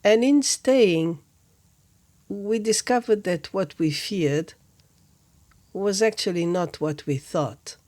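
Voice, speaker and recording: Woman talking real slow and gentle, calm spiritual reflection, lots of pauses. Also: mid-range voice. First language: Portuguese. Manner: gentle, measured, with long pauses between phrases. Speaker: spiritual teacher, podcast host. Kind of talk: reflective contemplative talk.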